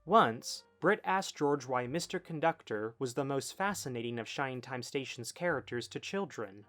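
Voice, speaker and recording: Guy doing scripted video essay narration, normal pitch, bit of music behind. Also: animated, moderate pace, fairly bright tone. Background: background music.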